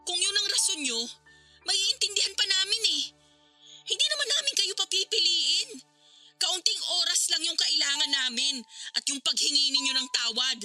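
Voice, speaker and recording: A woman's voice: extremely high pitch, expressive, normal speed, sharp tone, exaggerated voice. An actress playing a hurt, resentful grown daughter reproaching her father in an emotional radio drama. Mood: hurt, guarded. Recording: dramatic music behind the voice. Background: music.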